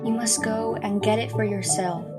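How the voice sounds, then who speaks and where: An actress playing a pious, calmly menacing schoolteacher in a horror audio drama, preaching in a measured way. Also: female voice, medium pitch, natural voice. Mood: solemn, preachy. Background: music.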